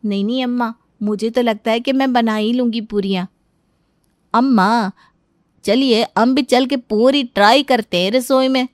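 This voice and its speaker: A woman voicing an earnest, expressive young daughter-in-law in a Hindi cartoon story, pleading and eager. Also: a little high, a little slow, no echo, studio quality, natural voice. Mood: confident.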